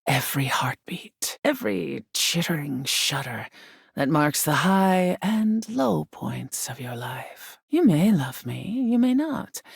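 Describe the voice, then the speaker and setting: Aloof female air elemental, video game character, husky, mellow, creaky, solemn formal diction, often whispering, close dry sound. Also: low-pitched, no echo, heavily acted. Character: ancient female spirit of air, aloof, haughty, intense.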